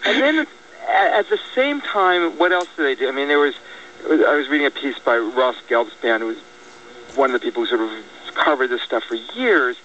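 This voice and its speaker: An American man speaking in a political radio discussion, halting and repeating words as he reasons things out. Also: medium pitch, expressive, pushed and bright. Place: radio broadcast. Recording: dull lo-fi radio, distant, faint noise.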